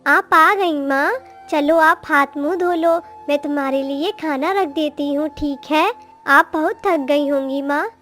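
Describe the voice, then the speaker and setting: A woman's voice: sits high, a little slow, a bit bright, soft background music. A woman voicing a sweet, devoted young daughter bird in a moral-story cartoon, with a tender, earnest manner. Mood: tender, caring.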